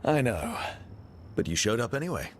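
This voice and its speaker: A man playing a steady, earnest fantasy video game hero who speaks directly and calmly. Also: medium pitch, moderate pace, a little hiss or hum, natural voice. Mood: firm. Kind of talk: video game dialogue acting.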